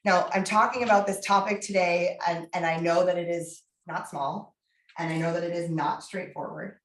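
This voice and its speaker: A woman giving a candid, energetic talk as a guest speaker, her voice husky from laryngitis, with frequent fillers. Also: lowish voice, normal speed, echoey room. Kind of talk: public lecture.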